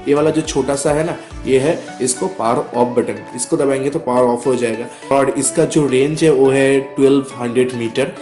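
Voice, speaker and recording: A man giving a casual, step-by-step hands-on product tutorial, often checking that the listener follows. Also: medium pitch. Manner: explains step by step with frequent check-in words. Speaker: product reviewer vlogger.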